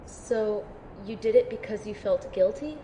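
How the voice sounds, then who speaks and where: A woman's voice: mid-range voice, measured pace, warm tone, lightly acted. A woman playing a wounded, self-doubting young woman in an audio drama, speaking in an earnest, introspective way. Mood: doubtful, subdued. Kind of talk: audio drama dialogue and first-person narration. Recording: noisy ambience behind the voice.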